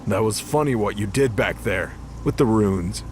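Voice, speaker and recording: A man's voice: on the low side, normal speed, slight background noise, close to an everyday voice. An actor playing a cruel male angel of death in an audio drama, casual, sardonic and menacing. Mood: taunting. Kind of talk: mythology audio drama acting.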